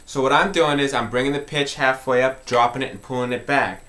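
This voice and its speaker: A man's voice: mid-range voice, steady tone, fairly quick. A man talking informally as he hosts an instructional music tutorial.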